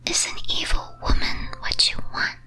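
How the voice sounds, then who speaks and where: A woman whispers a scripted ASMR monologue in a breathy, teasing, theatrical and deliberate way. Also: sharp tone, soft background music. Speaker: streamer performing as a character.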